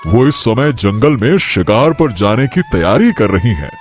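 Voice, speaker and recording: A man acts a solemn royal advisor in a cartoon tale. He speaks slowly and respectfully in a mid-range voice, with lively rises and falls, music faintly behind. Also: mid-range voice. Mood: deferential, measured. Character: deferential royal sage advising the king. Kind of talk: Hindi cartoon story acting.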